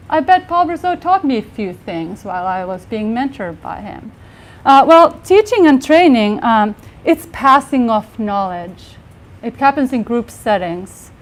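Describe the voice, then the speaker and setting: Woman, 40s, soft voice, slightly high, earnest workshop presenter. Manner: earnest, many fillers and restarts. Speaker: workshop speaker. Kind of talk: conference talk. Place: live workshop room with audience.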